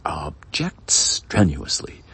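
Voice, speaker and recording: A man acts out an obstructive male defense lawyer in a dramatic reading. The voice is raspy and breathy, leaping about in pitch with strenuous, aggrieved indignation. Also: big pitch swings, takes it slowly, fairly bright tone, exaggerated voice. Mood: indignant.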